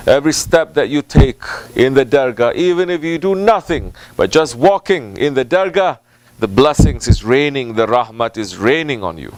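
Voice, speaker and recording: A man speaking as a Sufi religious teacher in an informal sermon, with deliberate, halting phrasing, repetition for emphasis and Arabic and Turkish devotional phrases mixed into his English. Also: fairly high pitch, a little mellow. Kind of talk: informal sermon answering a question.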